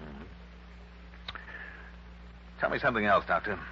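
An actor playing a shrewd, skeptical male investigator in a 1960s radio drama, brisk, probing and wry. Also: sits low, lively intonation, a little hiss or hum, exaggerated voice. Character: shrewd, skeptical male insurance investigator narrating his case. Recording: dull, humming early-1960s radio recording.

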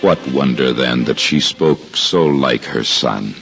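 An actor playing a reverent, humble biblical husband who narrates in solemn, formal, archaic language for a 1950s radio drama. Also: male voice, extremely low pitch, slow, heavily acted. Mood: reverent awe. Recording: dull 1950s radio recording, music behind.

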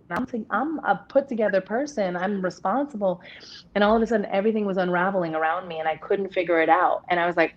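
A woman in her thirties with a deep voice, speaking openly and at length as an interview guest, with many restarts. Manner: open, rambling, restarts often. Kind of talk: candid personal conversation. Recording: dull lo-fi audio.

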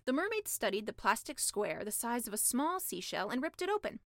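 A woman's voice: on the high side, sing-song, brisk, fairly bright tone, dry recording. A woman narrating a comic fairy tale as an audiobook reader, in a scripted storytelling style.

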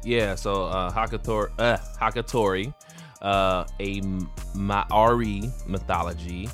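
A man co-hosts a relaxed beer podcast, jokey and easygoing, calling people man and bro. His voice sits low. Faint music runs under the chat. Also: slow pace. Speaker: podcast co-host. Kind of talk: casual chat over beer.

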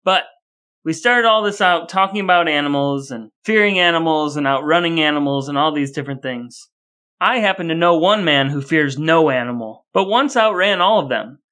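A man's voice: fairly high pitch, lively intonation, moderate pace, bright, forceful tone. A man co-hosting a podcast in a casual but wordy, wry style. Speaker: podcast co-host. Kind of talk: casual chat, scripted podcast outro.

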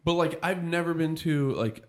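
A man co-hosting a casual comedy podcast, rambling with many pauses and filler words. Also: fairly high pitch. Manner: rambling, halting, lots of fillers and trailing off. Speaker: podcast co-host.

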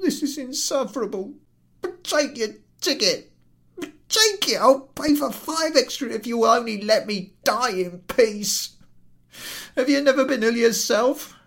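Shrill, wailing invalid gentleman. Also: animated, measured pace, vocal fry, exaggerated voice. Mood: anguished pleading. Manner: gasping, wailing, melodramatic. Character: frail sick male train passenger, tormented, self-pitying, pleading. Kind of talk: dramatised storybook reading.

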